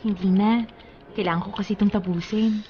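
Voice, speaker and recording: An actress playing a busy, gently reluctant young female office worker in a radio drama, friendly and lightly protesting. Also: medium pitch, steady tone, fairly quick, highs cut off, a little hiss or hum, lightly acted. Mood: reluctant, surprised. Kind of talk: Tagalog radio drama acting.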